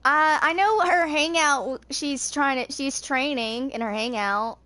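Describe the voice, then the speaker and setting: A woman's voice: sits high, a little slow, bright, forceful tone. A woman in her twenties chatting casually as she comments on a video game, informal and rambling. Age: 20s.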